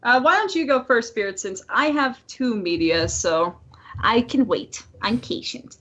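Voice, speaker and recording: A woman co-hosting a casual podcast, talking in an animated, playful way and swearing freely. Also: fairly high pitch, measured pace. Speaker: podcast co-host. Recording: dull lo-fi voice-call audio.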